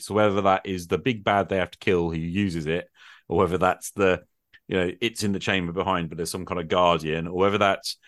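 A middle-aged man with a low voice talks through his ideas in a casual, explanatory way. He often checks in with little tag questions, and the sound is dry and close. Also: fairly bright tone, dry recording. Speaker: podcast host and game master. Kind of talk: extended casual discussion of tabletop gaming.

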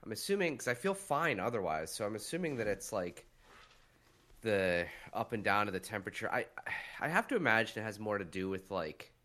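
A man's voice: lowish voice, big pitch swings, a little fast, a bit bright. A man co-hosting a casual podcast while stuffed up and nasal with a cold, rambling with lots of fillers and swearing. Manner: rambling, full of "like", swears freely. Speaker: podcast co-host.

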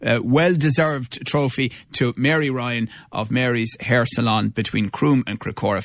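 A man's voice: fairly low pitch, no echo, clean studio sound. A male Irish radio host interviewing guests in a jokey, chatty way. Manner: jokey, chatty, lively questions.

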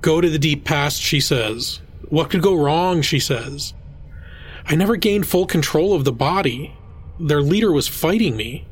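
A man's voice: on the high side, lively intonation, measured pace, husky. A man playing a wry, apologetic dead time traveler in an audio drama, speaking hesitantly. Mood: wry exasperation.

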